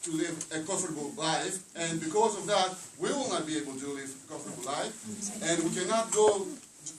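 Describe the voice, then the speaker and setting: A man's voice: a little high, fairly quick. A man from Greece with a Greek accent, speaking up as a resident at a public meeting, earnest and repeating himself in broken English. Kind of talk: impassioned public comment. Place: council meeting hall. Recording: distant, echoey, noisy hall.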